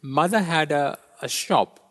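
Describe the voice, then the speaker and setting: Soft-spoken man from Kenya in a hall. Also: fairly high pitch, lively intonation. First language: Gujarati. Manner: gentle, hesitant, many pauses and ums. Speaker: guest speaker. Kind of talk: personal testimony interview. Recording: long hall reverb.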